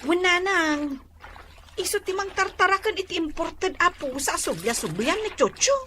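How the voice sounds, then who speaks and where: An actress playing an excitable, exclaiming daughter in an Ilocano radio drama. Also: female voice, very high voice, normal speed, a little hiss or hum, heavily acted. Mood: complaining.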